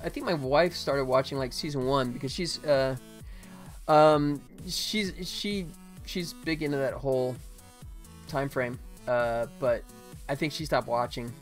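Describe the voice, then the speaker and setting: An American man chats casually to his livestream viewers while he works. His voice sits at an average male pitch, and his delivery is informal and conversational. Music plays faintly behind him. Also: measured pace. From United States, Atlanta. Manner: informal, chatty, addresses viewers by name. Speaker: game developer and livestream host.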